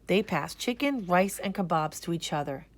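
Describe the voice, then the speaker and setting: A woman reading a children's picture book aloud as narrator, in a deliberate, expressive way. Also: fairly low pitch. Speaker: storybook reader.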